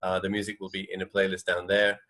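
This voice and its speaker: A man's voice: low-pitched, monotone, fast talker, bright, forceful tone. A man co-hosting a radio show in casual chat, tentatively repeating and rephrasing as he goes.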